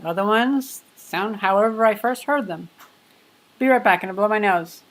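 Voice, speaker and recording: A woman's voice: medium pitch, moderate pace, rough recording. Rambling woman in her twenties, livestreaming. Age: 20s. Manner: rambling, chatty, many fillers. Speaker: livestream host. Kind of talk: casual livestream chat. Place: home workshop.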